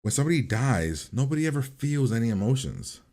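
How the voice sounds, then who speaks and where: A man's voice: medium pitch, sing-song, a little fast, mellow. A man hosting a reaction video, giving a casual, informal review and rambling as he repeats and revises his points.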